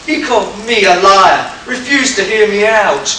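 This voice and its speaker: Echoey distant stage recording, man playing pugnacious radio-play tough guy, aggrieved, defensive, high sharp voice. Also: expressive, measured pace, exaggerated voice. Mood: bitter. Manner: forceful, tough-guy outbursts. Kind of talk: amateur radio play acting. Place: live convention stage in echoey room. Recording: very echoey hall, far-off mic, faint hiss.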